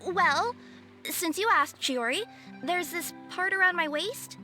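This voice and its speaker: Shy cat-spirit girl, very high bright voice, slow hesitant delivery. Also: expressive, soft background music, full character voice. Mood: bashful. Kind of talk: video game voice acting.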